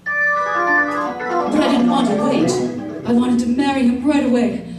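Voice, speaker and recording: Echoey, distant lovestruck scheming woman played by an actress. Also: sits high. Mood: brooding, dark. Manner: deliberate, dramatic, confessional. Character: lovestruck, scheming beauty parlor woman telling her story. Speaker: stage actor in an old-time radio play. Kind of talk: old-time radio drama acting and first-person narration. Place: theater stage, live performance. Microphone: stage stand mics, distant camera. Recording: echoey stage from far off, organ music.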